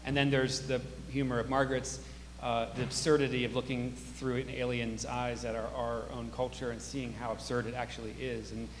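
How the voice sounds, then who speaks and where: A man moderating a live audience discussion, reflective and intellectual, speaking off the cuff and thanking speakers. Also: medium pitch. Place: large auditorium with a balcony. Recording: echoey reverberant hall, faint hum and noise.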